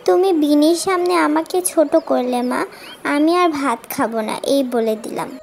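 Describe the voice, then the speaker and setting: A woman's voice: high-pitched, expressive, moderate pace, darkish tone, soft background music. A woman voicing a kind, outspoken and earnest little village girl in a cartoon. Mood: indignant.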